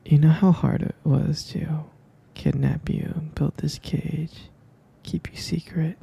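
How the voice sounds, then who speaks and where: A man voicing an obsessive, sweetly possessive kidnapper in an ASMR roleplay, talking in a soft, clingy, soothing whisper that keeps insisting. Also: a little high, flat delivery, takes it slowly, vocal fry, slightly rough, full character voice.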